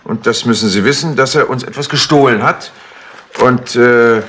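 An actor playing a harried, fussy, impatient colonel in a 1960s radio crime play. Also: male voice, mid-range voice, moderate pace, a bit bright, natural voice. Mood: worried, impatient. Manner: fussy, exasperated, hesitant. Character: harried, impatient male colonel, worried senior official. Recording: noisy old 1960s tape recording.

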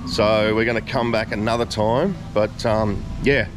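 A man's voice: fairly low pitch, steady tone, measured pace. Chatty man vlogging at night. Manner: chatty, exclaiming "far out" and "whoa". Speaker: travel vlogger. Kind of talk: casual vlog narration. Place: outdoors at night and in a rooftop bar. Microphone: action camera held at arm's length. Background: loud music.

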